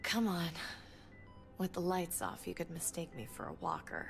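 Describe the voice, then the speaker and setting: An actress playing a weary, anxious wife and survivor, speaking in a hushed, intimate way, in a cinematic video game. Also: female voice, lowish voice, sing-song, normal speed, soft background music. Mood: tired, wry. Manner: hushed, often breathy near-whisper, intimate.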